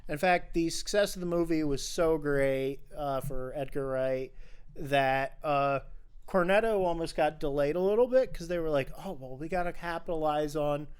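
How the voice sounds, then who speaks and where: Smooth, higher-pitched man, enthusiastic podcaster. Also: normal speed. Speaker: podcast host. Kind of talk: casual film discussion.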